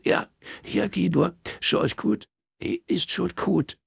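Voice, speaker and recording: A man voicing a distraught woman in an audiobook, crying and stammering haltingly through a phone call. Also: medium pitch, wildly swooping pitch, gravelly, slightly rough, dry recording, studio quality, voice pushed up, full character voice. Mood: sobbing, stammering.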